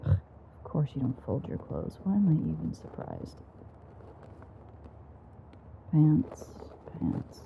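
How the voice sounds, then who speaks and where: A woman playing a weary, sardonic, teasing female vampire in an intimate audio roleplay, with a breathy, husky voice that often drops near a whisper and a dry, sarcastic way of talking. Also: deep voice, wildly swooping pitch, measured pace, narrow, tinny audio, a little hiss or hum, full character voice. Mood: exasperated, bossy. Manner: dry, rambling, sarcastic banter.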